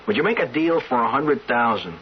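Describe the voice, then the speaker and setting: An actor in an old-time radio drama playing an eager, uneducated, lovestruck rich man who talks in halting, colloquial, earnest speech. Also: mid-range voice, sing-song, slight background noise, natural voice. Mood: insistent, pushy. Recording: dull vintage radio recording, music behind.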